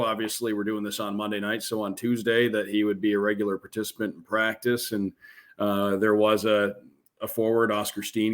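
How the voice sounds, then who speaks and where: A man's voice: medium pitch, fairly bright tone. A man who works as a radio play-by-play announcer, giving casual hockey analysis as a podcast guest. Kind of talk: casual sports analysis in an interview.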